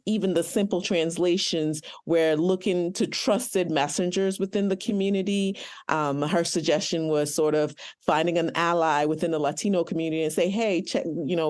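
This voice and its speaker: A woman pharmacist answering interview questions earnestly, with many pauses and ums; English is not her first language. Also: low voice, darkish tone. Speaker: pharmacist guest.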